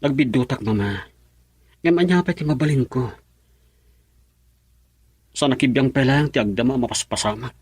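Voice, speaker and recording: A man's voice: mid-range voice, normal speed, long pauses, darkish tone, slightly rough, no echo, natural voice. A man plays a troubled young husband in a radio drama, speaking with a Filipino accent. His voice sits in a medium register and is recorded dry, with a frustrated, burdened manner. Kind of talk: Ilocano radio drama acting.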